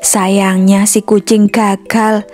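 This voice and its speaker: A woman's voice: mid-range voice, flat delivery, takes it slowly, a little mellow. A woman reading a scripted children's story as a storyteller. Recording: music behind the voice. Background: music.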